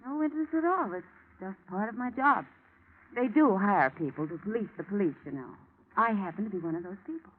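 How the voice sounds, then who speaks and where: A woman's voice: mid-range voice, lively intonation, fairly quick, close to an everyday voice. An actress playing a composed, fearless female agent with arch, dry wit in a 1940s radio drama. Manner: composed, arch, dryly sarcastic. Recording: tinny, band-limited vintage radio recording.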